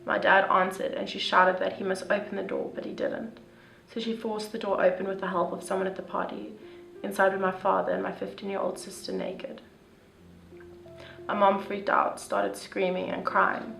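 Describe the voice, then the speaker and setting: A young woman reading a first-person story aloud in a steady, even way. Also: medium pitch, moderate pace, gravelly. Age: young adult. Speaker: youth reader. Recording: echoey room with soft guitar music.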